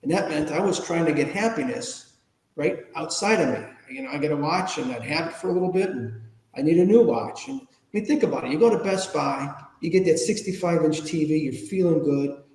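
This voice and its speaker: Echoey older man, higher-pitched voice. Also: expressive, a little fast, darkish tone. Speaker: interview guest, public speaker. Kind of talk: conversational personal storytelling. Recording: echoey room with long reverb.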